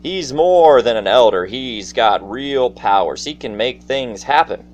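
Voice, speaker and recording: Animated male storyteller with a theatrical, folksy delivery. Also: medium pitch, unhurried, muffled recording. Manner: theatrical, folksy, addressing listeners directly. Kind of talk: casual personal storytelling monologue to an audience. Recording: faint background noise.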